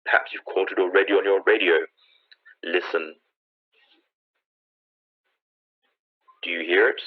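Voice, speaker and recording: A man's voice: low voice, steady tone, fairly quick. A man playing an urgent, awed on-scene news commentator in a 1930s-style radio drama, searching for words as they describe things. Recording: dull lo-fi old radio sound.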